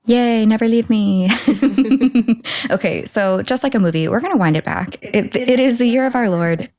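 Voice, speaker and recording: A woman's voice: on the high side, normal speed, a little mellow. A woman in her thirties hosting a podcast in casual, playful chat, often laughing. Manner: giggly, playful, chatty. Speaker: podcast host. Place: Paris.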